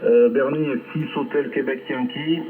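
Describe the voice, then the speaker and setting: A man chatting casually as an amateur radio operator over a shortwave link, rambling hesitantly with long filled pauses. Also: medium pitch, steady tone, narrow, tinny audio, a little hiss or hum. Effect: shortwave ham radio transmission. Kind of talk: casual ham radio contact chat. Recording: band-limited shortwave radio reception.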